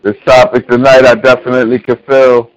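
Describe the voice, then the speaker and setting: A man's voice: mid-range voice, level tone, a little fast. A man hosting a call-in radio talk show, laid-back and slangy as he wraps up the hour. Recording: dry, dull lo-fi audio.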